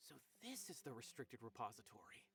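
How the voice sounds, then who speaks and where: A man's voice: mid-range voice, big pitch swings, fairly quick, a bit bright. A man playing an earnest, polite scholarly enforcer in a video game. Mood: earnest, explanatory. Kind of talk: video game dialogue acting.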